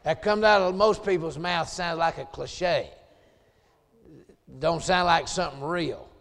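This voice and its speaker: A man in his late forties with a Southern US accent, preaching a revival sermon in a church. He drops into quiet joking asides with the congregation, then climbs to hollered scripture. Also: on the high side, measured pace, in a large hall. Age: 40s. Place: church sanctuary.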